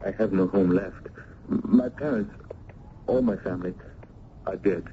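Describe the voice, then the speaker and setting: An actor playing an earnest, courteous young Italian gentleman student in a radio drama, speaking formally and a little hesitantly. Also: low-pitched, wildly swooping pitch, normal speed, creaky, heavily acted. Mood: melancholy. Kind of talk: 1970s radio drama acting and narration. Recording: noisy, phone-like old radio broadcast.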